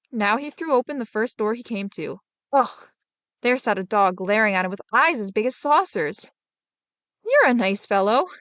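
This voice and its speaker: A woman storyteller reading a fairy tale aloud in an expressive, dramatic manner. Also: mid-range voice, no echo. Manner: dramatic, animated.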